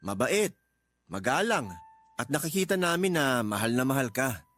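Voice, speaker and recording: A man playing a supportive, middle-aged working father, gentle and fatherly, in a radio drama. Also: mid-range voice, big pitch swings, normal speed. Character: supportive, teasing aging father, a construction worker. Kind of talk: Tagalog radio drama acting.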